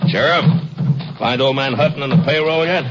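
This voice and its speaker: A man's voice: on the high side, moderate pace, over loud music, natural voice. An actor playing a burly, cocky, bullying logging foreman in a 1950s radio western, with bossy, folksy, ungrammatical talk. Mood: cocky, breezy. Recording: noisy, muffled old radio recording.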